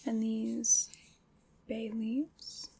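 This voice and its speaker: A woman playing a calm witch in an ASMR roleplay, leading a ritual in a hushed, soothing, deliberate whisper. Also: a little high, creaky, breathy edge, exaggerated voice. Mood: gentle, intimate.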